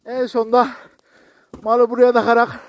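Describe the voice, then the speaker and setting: A man's voice: very high-pitched, monotone, normal speed, dull audio, a little hiss or hum. A man chatting casually to viewers and companions as a jokey, exclamatory vlogger out in the open while on the move. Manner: active, talking while snowboarding. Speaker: vlogger presenting a ski slope. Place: outdoors on a steep ski slope.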